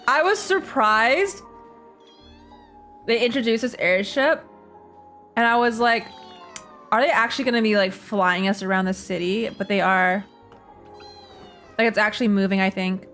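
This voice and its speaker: A woman's voice: mid-range voice, measured pace, pauses often, a bit bright. Slangy woman streamer reacting casually. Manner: slangy, repetitive, jokey. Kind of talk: casual game playthrough reaction commentary. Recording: faint game music behind. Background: game music.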